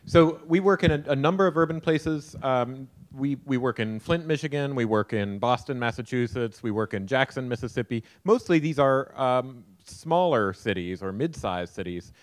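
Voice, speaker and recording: A man's voice: mid-range voice, animated, normal speed, hardly any pauses, no room sound. An American man in his thirties answering audience questions as a conference keynote speaker, talking into a handheld microphone. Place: conference hall stage, indoors.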